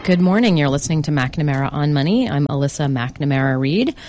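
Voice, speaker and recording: A middle-aged woman hosting a talk radio show, slightly hoarse and chatty, with many fillers and restarts. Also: deep voice, expressive, a little fast, over loud music. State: slightly hoarse, under the weather. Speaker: radio show host, financial planner. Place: radio studio. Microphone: studio microphone.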